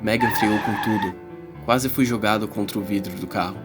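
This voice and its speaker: A man's voice: fairly low pitch, fairly even intonation, moderate pace, over loud music, lightly acted. A Brazilian man playing a teenage schoolboy who narrates an uneasy fantasy adventure in a dramatized audiobook. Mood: narrating. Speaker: first-person narrator.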